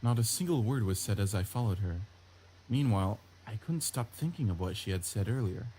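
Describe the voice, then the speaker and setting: A man's voice: fairly low pitch, expressive, fairly quick, slight background noise, low-quality recording. A man reading a visual novel's story aloud as scripted narration. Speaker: gameplay video host reading a visual novel. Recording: loud game music under the voice.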